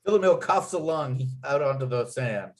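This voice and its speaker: A man with a medium-pitched voice serves as narrator for a script read. He delivers stage directions and scene headings as written, in descriptive phrases. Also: animated, moderate pace. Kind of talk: reading screenplay stage directions aloud.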